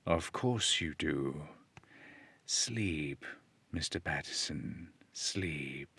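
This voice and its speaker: A man voicing a sinister hypnotist villain in an old-time radio play, speaking in a slow, soft, deliberate and coaxing way. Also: very deep voice, expressive, gravelly, slightly rough, voice pushed down, full character voice. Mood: hypnotic.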